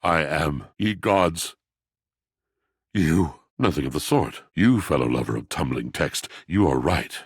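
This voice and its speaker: A grandiose, melancholy washing machine from a video game, a pompous lover of old books and philosophy. The voice is very low and husky, and it swings expressively through florid, poetic lines. The delivery is theatrical and weighty. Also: male voice, animated, measured pace, dry recording, studio quality, heavily acted. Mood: anguished, grandiose. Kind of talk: video game character acting.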